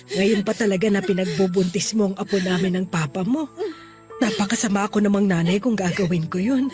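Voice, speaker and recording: A woman's voice: mid-range voice, fairly quick, natural voice. A woman playing a loving, protective middle-aged mother in a sentimental audio drama, earnest and consoling. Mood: reassuring. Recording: music behind the voice.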